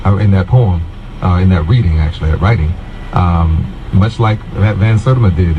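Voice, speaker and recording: A man giving casual, off-the-cuff commentary as a host, halting as he searches for words. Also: low-pitched, highs cut off. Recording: clear background vehicle noise.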